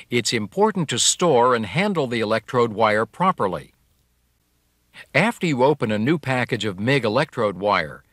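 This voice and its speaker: A man giving scripted instructional narration for a technical training video, in a clear, measured, explanatory way. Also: medium pitch, fairly bright tone, dry recording, studio quality.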